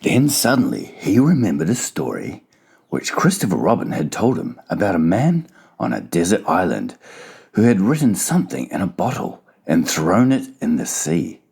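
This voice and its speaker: A man's voice: sits low, very animated, moderate pace, creaky, a little mellow, slightly rough. A man narrating a children's storybook as a dramatized audiobook.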